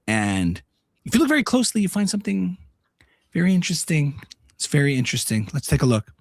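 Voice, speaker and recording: A man's voice: a little high, huge pitch swings, fast, vocal fry, no echo, clean studio sound, heavily acted. A man playing a smug, suspicious female conspiracy podcaster, repeating phrases insistently in a mock-dramatic commentary. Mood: knowing, suspicious. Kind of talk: satirical impersonation of a commentary podcast.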